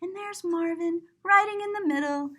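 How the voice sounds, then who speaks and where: A woman's voice: very high voice, normal speed, fairly bright tone. A woman reading a picture book aloud to children, playfully and expressively explaining what is in the pictures. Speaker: children's storybook reader, teacher. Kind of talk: animated read-aloud to children, describing pictures.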